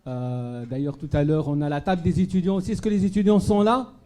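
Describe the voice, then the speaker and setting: Man from Morocco, fairly high voice, very dark and mellow tone, halting gala speech to a crowd. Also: fairly even intonation. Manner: hesitant, many fillers and restarts, audience questions. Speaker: charity speaker at a fundraising gala. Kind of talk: public speech to a live audience. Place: banquet hall at a gala dinner.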